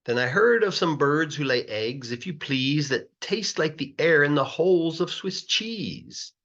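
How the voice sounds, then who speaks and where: Animated man reading a rhyming storybook. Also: a little high, measured pace, highs cut off. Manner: expressive, sing-song rhythm, stretching words for emphasis. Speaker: English teacher reading a children's story aloud.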